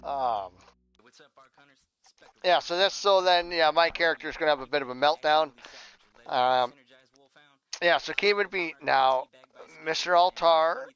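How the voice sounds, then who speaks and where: A man's voice: fairly high pitch, a little slow, long pauses, fairly bright tone, clear, resonant tone, lo-fi sound. A man rambling casually to himself and his viewers on a livestream as he works, muttering and swearing offhandedly. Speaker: streamer and audio drama scriptwriter. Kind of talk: casual livestream chat while working.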